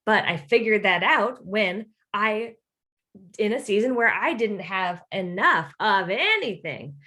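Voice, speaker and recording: A woman's voice: mid-range voice, unhurried. A woman podcast host chatting casually about faith and self-help, acting out imagined quotes as she talks. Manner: animated, acts out imagined quotes.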